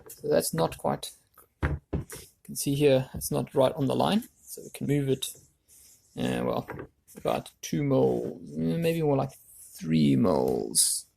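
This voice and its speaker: Man, gravelly mid-pitched voice, casual DIY commentary, thinking aloud, noisy recording with clicks. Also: very animated, takes it slowly, warm tone. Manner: unscripted, thinking aloud while working. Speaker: DIY woodworking video maker. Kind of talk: casual hands-on workshop commentary. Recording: clear background noise with clicks.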